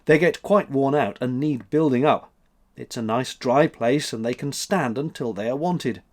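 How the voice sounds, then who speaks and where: A man voicing old Father Time in a storybook, a self-assured old man with a measured, matter-of-fact manner that can turn severe. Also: mid-range voice, darkish tone, lightly acted.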